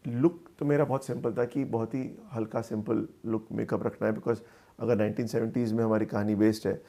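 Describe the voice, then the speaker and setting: A man giving conversational interview answers about his work as a filmmaker, speaking in a halting, thoughtful way and mixing in English phrases. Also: on the low side, level tone, mellow. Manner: halting, thoughtful, with repeated words and pauses; mixes English phrases into Hindi.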